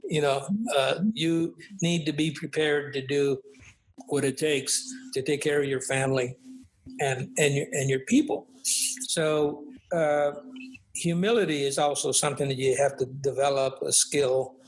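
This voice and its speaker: A man's voice: on the high side, fairly even intonation, unhurried, poor audio. An older man being interviewed as a guest, speaking reflectively with many fillers and tag questions. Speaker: guest, author. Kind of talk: conversational interview. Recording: faint background noise.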